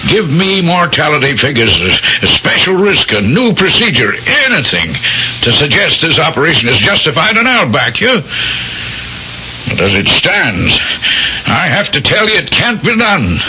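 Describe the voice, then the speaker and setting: An actor playing a stern, cautious hospital chief of staff in a radio drama, American, emphatic and authoritative. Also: male voice, a little high, sing-song, normal speed, pushed and bright, heavily acted. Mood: firm, exasperated. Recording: muffled, faintly noisy old radio broadcast.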